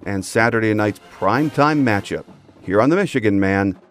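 A man's voice: fairly low pitch, animated, moderate pace, soft background music. A man hosting a sports podcast, delivering a scripted commentary monologue. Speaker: podcast host.

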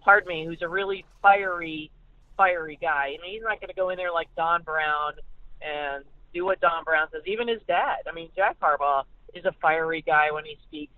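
American woman sports reporter on a phone line. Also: on the low side. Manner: halting, repeats words. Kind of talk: conversational sports analysis. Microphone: telephone. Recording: tinny, narrow phone line.

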